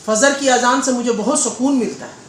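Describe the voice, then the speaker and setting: A man giving political interview answers in a deliberate, oratorical way, repeating phrases. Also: very high voice. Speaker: political leader being interviewed. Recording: echoey room with faint background noise.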